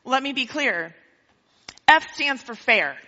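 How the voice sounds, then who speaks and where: A woman preaches to her congregation, slipping in teasing jokes and frequent laughs. Her voice sits a little high and rings bright and forceful. The recording is dull and lo-fi, with long reverb from the church hall. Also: animated, takes it slowly. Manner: joking, teasing, laughs often. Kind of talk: sermon with playful jokes. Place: church sanctuary.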